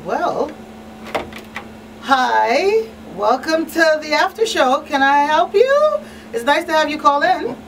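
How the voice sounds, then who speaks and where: A woman's voice: high voice, very animated, slow pace. A woman hosting a live call-in radio talk show in an informal, unscripted way. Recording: slightly distant mic, faint noise.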